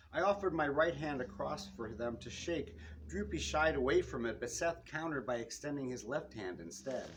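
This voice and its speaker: Male author, live prose reading, expressive narrator with small stumbles, light room noise. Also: medium pitch. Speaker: author reading own novel. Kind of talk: live reading of a detective novel. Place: public reading in a bookstore. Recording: noisy live room recording.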